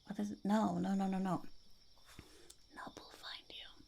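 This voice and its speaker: A woman voicing a scheming, self-important goblin in a whispery ASMR roleplay, halting and mock-formal as the goblin interviews would-be henchmen. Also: medium pitch, little pitch movement, warm tone. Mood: cagey, officious. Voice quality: whispery, breathy, creaky.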